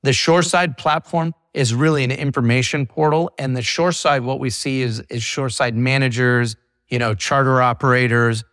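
Middle-aged guy, ceo, rambling friendly explainer, lots of fillers, mid-pitched voice, dry sound. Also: moderate pace, fairly bright tone, dry recording. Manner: chatty, explains at length with many "you know" fillers; quotes clients in an acted voice. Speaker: company CEO guest. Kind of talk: casual business interview.

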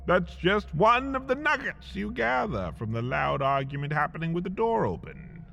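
A man's voice: sits high, very animated, normal speed, vocal fry, fairly bright tone, slight background noise. A man reading a scripted comic story in the second person, with theatrical, dramatic delivery and wry understatement, slightly muffled over faint ambience. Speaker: podcast host and narrator. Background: faint ambient sound effects.

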